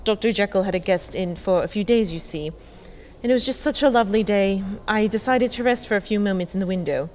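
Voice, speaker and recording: An actress in an audio drama playing a polite housemaid, wordy, deferential and earnest. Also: female voice, mid-range voice, fast, a little mellow, natural voice. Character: polite, chatty housemaid, shaken witness.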